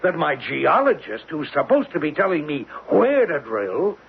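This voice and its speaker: An American actor in a 1970s radio drama, playing a blustering, sarcastic, exasperated oil drilling boss with a gravelly, rough-edged voice. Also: male voice, a little high, big pitch swings, normal speed, slight background noise. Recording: tinny narrowband 1970s radio recording.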